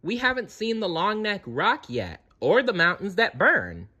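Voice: mid-range voice, big pitch swings, measured pace, bright, forceful tone, breathy edge, muffled recording, voice pushed up, heavily acted. A narrator voicing an earnest young male long-necked dinosaur in a storybook, exclaiming. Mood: eager.

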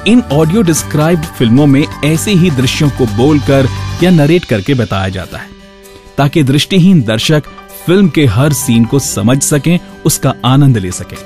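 A man's voice: a little high, animated, moderate pace, a little mellow, soft background music. A man hosting a radio show, reading scripted narration and announcements.

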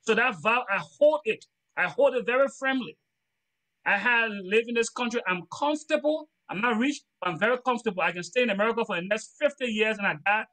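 Earnest Liberian man, high bright voice. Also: animated, fairly quick, low-quality recording. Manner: earnest, preachy, repeats phrases. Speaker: trainer. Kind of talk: motivational talk in a training lesson.

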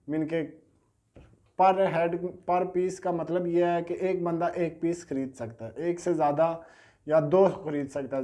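A man's voice: a little high, warm tone. A man in his thirties from Pakistan, casually showing gadgets for sale at a table in a small indoor studio, talking in a chatty, hesitant way on a lapel mic. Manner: chatty, hesitant, repeats fillers and sales catchphrases. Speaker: online gadget seller. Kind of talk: casual product unboxing.

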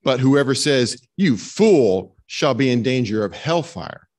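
A middle-aged man reading scripture and doctrine aloud as a minister, formal and measured, at a lectern microphone in a chapel. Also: medium pitch, expressive, a little mellow. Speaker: minister leading a church service. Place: stone chapel, indoors. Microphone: lectern microphone on a stand.

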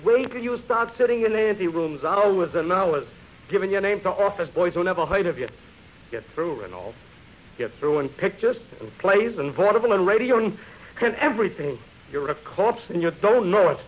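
A man's voice: sits high, animated, moderate pace. A man acting a blunt, exasperated, streetwise theatrical agent in a 1930s radio drama. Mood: scathing. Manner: emphatic, streetwise, colloquial. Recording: muffled, noisy vintage radio recording.

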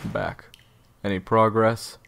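A man acts out a short-tempered, weary male assassin leader in an audio drama. The voice is fairly low with a gravelly creak and lively swings of pitch. He is terse and guarded. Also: takes it slowly, full character voice. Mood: guarded, irritated.

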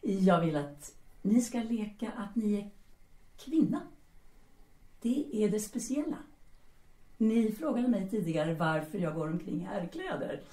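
A woman's voice: mid-range voice, sing-song, pauses often, warm tone, close to an everyday voice. An actress in an audio drama playing a bold, seductive Parisian bohemian woman who is teasing, commanding and formal in address. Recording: roomy, echoing sound.

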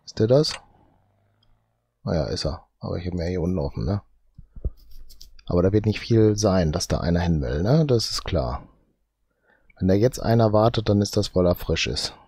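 A man with a cold, thinking aloud slowly as he comments on his own gameplay, often tagging lines with "ne?". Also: low voice, lively intonation, mellow, breathy edge, no echo. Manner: muttering, drawn-out, tags lines with "ne?". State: has a cold. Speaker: let's play host.

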